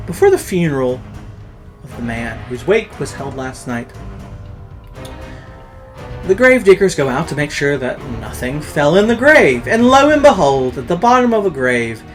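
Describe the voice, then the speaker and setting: A campy storyteller hosts a horror reading with showy, pompous flair. The delivery swings widely in pitch, with drawn-out words and dramatic pauses, over faint music. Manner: pompous, campy, self-flattering showmanship. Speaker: storyteller host.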